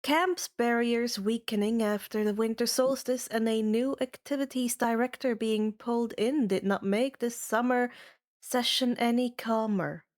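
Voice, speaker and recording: A woman's voice: fairly high pitch, unhurried. A woman hosting and narrating a fan fiction reading in a scripted, audiobook style. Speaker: fan fiction reader and channel host.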